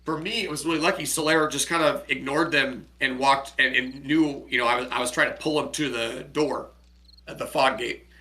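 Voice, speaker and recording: Bright-voiced man with a cold-worn voice. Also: medium pitch, steady tone, normal speed. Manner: rambling, restarts and chatty asides. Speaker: streamer and co-host. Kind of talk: casual gaming chat.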